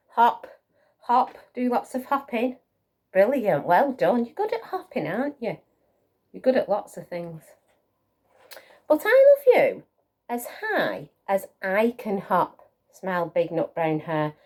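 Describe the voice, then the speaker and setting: Animated, slow-paced woman reading to children. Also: a little high. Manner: encouraging, questioning, child-directed. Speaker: children's storyteller. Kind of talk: animated picture-book reading with asides to children.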